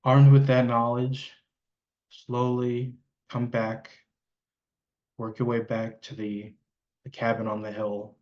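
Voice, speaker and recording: A man leading an improvised guided meditation, speaking hesitantly and pausing mid-sentence. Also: medium pitch, monotone, lo-fi sound.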